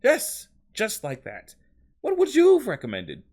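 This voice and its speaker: Fussy squeamish specimen preserver, theatrical, faltering, wandering put-on accent, high pitch, wildly swooping intonation. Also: male voice, huge pitch swings, measured pace, a little mellow, heavily acted. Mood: indignant. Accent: put-on, wandering between several accents. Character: squeamish, fussy taxidermist-like preservationist recounting gruesome job. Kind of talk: dramatic interview testimony reading.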